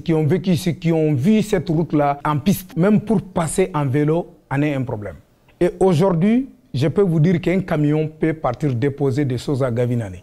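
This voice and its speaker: A man speaking in a documentary interview, explaining things with many pauses and fillers. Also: on the high side, mellow.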